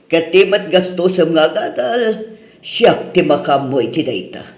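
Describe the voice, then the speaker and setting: A woman's voice: low voice, lively intonation, unhurried, darkish tone. A woman playing a protective, reluctant older mother in an Ilocano radio drama, with an Ilocano accent. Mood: stern but supportive. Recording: roomy echo.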